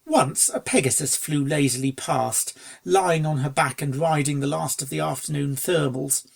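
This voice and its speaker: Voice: mid-range voice, normal speed, creaky. A narrator reading a scripted story aloud. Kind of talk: scripted narration of fan fiction.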